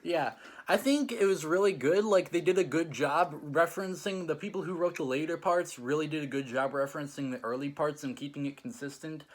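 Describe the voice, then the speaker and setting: A man's voice: on the high side, brisk. A man hosting and judging a challenge, chatting casually in a rambling, hesitant way with lots of "like". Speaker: host and judge of a challenge show. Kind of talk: casual chat, reviewing stories.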